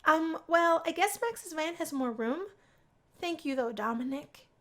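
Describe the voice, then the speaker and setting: A woman's voice: high voice, animated, measured pace, a bit bright, voice pushed up. A woman voicing a shy, bookish young female college student in a visual novel read-through. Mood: grateful.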